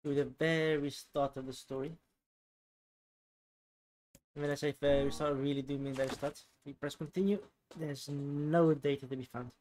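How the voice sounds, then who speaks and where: A man's voice: fairly high pitch, little pitch movement, moderate pace, long pauses, a little mellow, a little hiss or hum, rough recording. A man giving casual gameplay commentary as a let's player. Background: game music.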